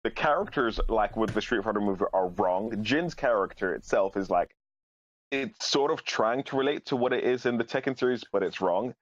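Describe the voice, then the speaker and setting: Male podcast panelist, deep-ish and blunt, casual and opinionated, slightly dull, dry audio. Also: lively intonation, moderate pace, no echo.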